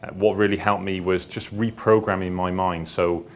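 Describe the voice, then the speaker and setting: A man's voice: fairly even intonation, normal speed. A man giving an informal seminar talk, hesitant and repetitive as he circles back through his phrases. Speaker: seminar speaker, publisher and founder. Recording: clear background noise with low rumble.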